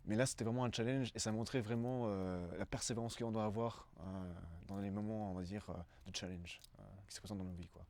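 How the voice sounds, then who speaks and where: A man's voice: fairly low pitch, steady tone, fast, hardly any pauses, darkish tone, no room sound. A man in his twenties from Brussels giving a casual interview testimony, hesitant and often searching for words. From Brussels, Belgium.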